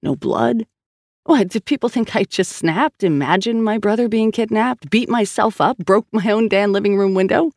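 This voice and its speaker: Brief: a narrator voicing an agitated woman detective, audiobook, higher voice, dry close sound. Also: moderate pace, a little mellow, no echo, natural voice. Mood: insistent. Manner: insistent and agitated. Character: tense, stubborn woman police detective. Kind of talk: audiobook character voice.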